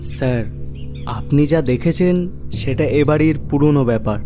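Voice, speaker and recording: A man playing a deferential villager in an animated horror story, confiding a dark secret in an earnest, respectful way. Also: fairly high pitch, unhurried. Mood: grave, confiding. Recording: loud music over the voice.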